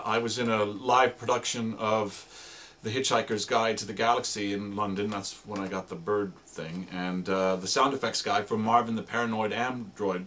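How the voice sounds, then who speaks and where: A man's voice: lowish voice, fairly bright tone, slight background noise. A man giving an informal, explanatory demonstration of radio drama sound effects, piling up descriptive words as he talks.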